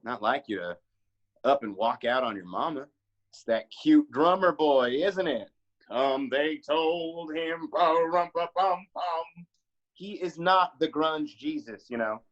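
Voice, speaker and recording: A man's voice: fairly high pitch, lively intonation, takes it slowly. Guy doing a sassy teasing family friend, folksy and fond, kinda dull lo-fi sound. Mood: teasing, chiding. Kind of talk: screenplay table read acting.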